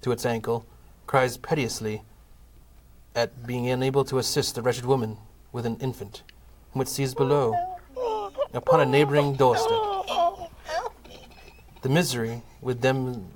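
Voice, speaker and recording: A man narrating a scripted comic audio play in a measured, formal storytelling manner. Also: mid-range voice, fairly even intonation, a little hiss or hum, low-quality recording.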